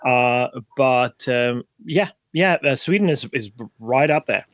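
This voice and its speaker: A man speaking as a podcast guest in casual conversation, halting and hedging as he goes. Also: medium pitch, no echo. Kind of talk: casual interview chat.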